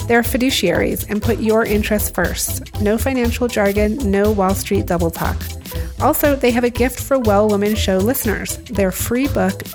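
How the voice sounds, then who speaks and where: A woman hosting a podcast, asking interview questions and reading announcements in an upbeat way. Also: mid-range voice. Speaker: podcast host. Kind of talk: interview and sponsor reads.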